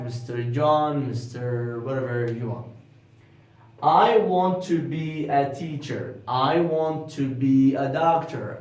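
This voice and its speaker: A young man with an Arabic accent teaching beginner English, repeating each model sentence slowly and clearly. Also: medium pitch, a little mellow. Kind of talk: beginner English lesson, slow repetition. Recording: very echoey room, distant from mic.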